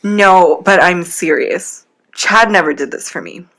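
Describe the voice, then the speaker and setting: A woman's voice: on the low side, a little slow, fairly bright tone. A woman playing a frank teenage girlfriend in an audio drama. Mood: affectionate, sincere. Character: frank teenage girlfriend, affectionate but easily alarmed.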